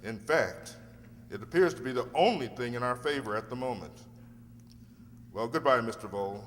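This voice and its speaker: A man's voice: mid-range voice, expressive, normal speed, close to an everyday voice. A man in a radio play acting an earnest, courteous defence lawyer who speaks formally and politely. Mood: reassuring, formal. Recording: echoey hall, faint noisy hum.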